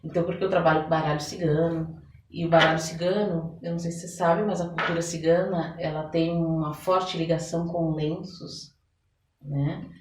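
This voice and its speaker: A woman's voice: deep voice, steady tone, normal speed, slight background noise. A Brazilian woman talking conversationally as a podcast guest, explaining things and acting out little quotes as she goes. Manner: explanatory, illustrates points with acted-out quotes. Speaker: podcast guest, therapist and oracle card reader. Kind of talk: conversational interview talk. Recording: roomy echo, distant microphone.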